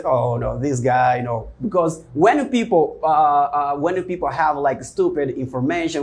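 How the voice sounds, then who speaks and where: A man from Africa whose first language is Portuguese answers questions in English. His voice sits a little high, and he rambles with lots of fillers and restarts. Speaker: guest English teacher. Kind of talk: casual interview answers.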